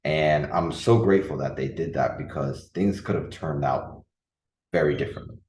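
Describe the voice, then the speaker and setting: A man's voice: rumbling low, moderate pace, a little mellow, recorded from a distance. A man in his thirties, originally from the Dominican Republic, hosting a casual solo podcast as a coach, talking earnestly with many fillers and restarts. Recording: echoey room.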